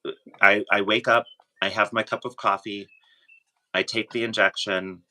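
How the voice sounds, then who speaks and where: A man chatting casually as a guest, sharing his own experience in a halting way, often rephrasing himself. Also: fairly low pitch, flat delivery, bright, forceful tone. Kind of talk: casual chat.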